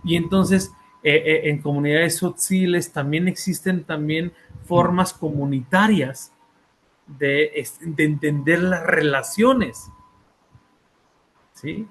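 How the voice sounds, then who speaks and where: Hesitant Mexican man, slow academic talk. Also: fairly high pitch, fairly bright tone. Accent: Mexican Spanish. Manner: hesitant, frequent fillers and repeated words, checks in with "¿sí?". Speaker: author and therapist presenting a book.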